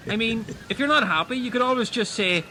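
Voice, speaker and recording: A man's voice: extremely high pitch, expressive, moderate pace, sharp tone, a little hiss or hum, full character voice. A man voicing a petty, needling castaway in an audio comedy, stammering and sarcastic.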